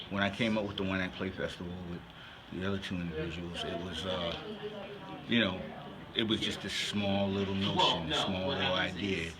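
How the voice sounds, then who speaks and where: A middle-aged man giving casual, halting, reflective interview answers as a festival founder. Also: on the low side. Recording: loud crowd chatter behind the voice.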